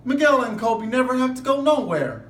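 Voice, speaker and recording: A man's voice: very high voice, big pitch swings, normal speed, a bit bright, pitched above the performer's own voice, exaggerated voice. A man voicing a sulky young boy with a plaintive, complaining manner in a storybook. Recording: echoey room, distant mic, background noise.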